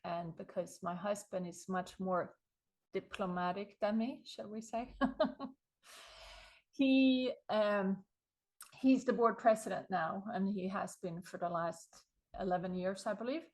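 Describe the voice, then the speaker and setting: A woman's voice: medium pitch, slow pace. Swedish-accented woman telling her story. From Sweden. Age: middle-aged. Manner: animated storytelling, chuckles often. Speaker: guest author. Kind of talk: conversational interview.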